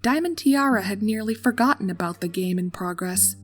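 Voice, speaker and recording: Woman calmly narrating a horror story. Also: mid-range voice, fairly quick, soft background music.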